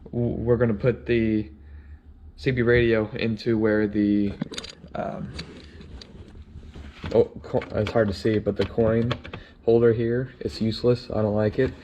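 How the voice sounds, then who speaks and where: Halting young man, noisy DIY recording. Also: fairly low pitch, level tone, dark tone. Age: 20s. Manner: halting, searching for words. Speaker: DIY vlogger. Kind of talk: casual how-to narration while working. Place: indoors: vehicle cab in a shed, later a basement room. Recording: clearly noisy camera audio with clicks.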